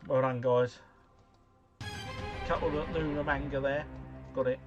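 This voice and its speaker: A man's voice: mid-range voice, fairly even intonation, measured pace, muffled recording, over music. A man hosting a livestream, playing a quiz game while chatting with viewers, reading out their messages, and stumbling over foreign names. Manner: chatty, jokey, reads chat messages aloud, mumbles foreign names. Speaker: livestream host.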